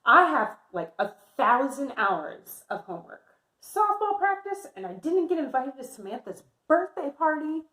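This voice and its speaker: Storybook schoolgirl, acted by a woman: discouraged, sulky, drawn-out lines, lively pitch, roomy sound. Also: full character voice. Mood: upset, sulky. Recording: roomy, echoey indoor sound.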